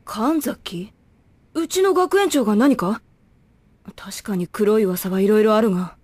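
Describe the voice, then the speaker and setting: A woman's voice: mid-range voice, unhurried, darkish tone, no room sound, lightly acted. A woman playing a composed, weary young woman who takes charge in an anime audio drama, curt and dry in manner. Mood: cool.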